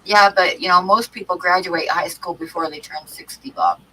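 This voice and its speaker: A woman's voice: lowish voice, normal speed, sharp tone, slight background noise, rough recording. A woman with a stuffy cold hosting an art livestream, chatting casually with many ums and restarts. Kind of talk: casual chat to live chat.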